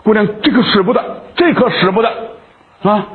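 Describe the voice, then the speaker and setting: A man's voice: high voice, expressive, moderate pace. A man playing a flustered young peddler. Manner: flustered, stammering. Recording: tinny phone-like sound.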